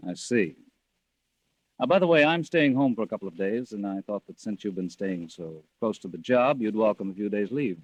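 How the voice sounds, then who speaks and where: Low, husky scheming husband. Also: male voice, animated, moderate pace, dry recording, low-quality recording. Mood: firm, cool. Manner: brusque, coaxing, domineering. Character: scheming, impatient husband secretly poisoning his wife. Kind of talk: old-time radio thriller acting.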